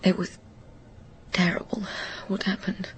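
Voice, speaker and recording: Actress as haunted older woman, mid-pitched, reflective and halting, naturalistic radio drama, slight background ambience. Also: completely flat delivery, a little hiss or hum, close to an everyday voice. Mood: wistful, subdued. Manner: hesitant, reflective, breaking into near whispers. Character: haunted older woman, wistful and guilt-ridden.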